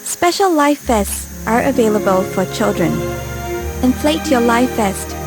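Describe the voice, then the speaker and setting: A woman giving a scripted safety briefing in a clear, calm instructional way, recorded as a studio voice-over. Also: a little high, a little slow. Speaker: safety video narrator. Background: music bed.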